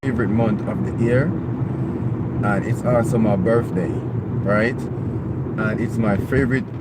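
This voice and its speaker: A man's voice: fairly low pitch, unhurried. A man vlogging live, speaking earnestly and with emphasis, often ending points with "right?" or "okay?". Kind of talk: live-streamed monologue. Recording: loud outdoor traffic noise.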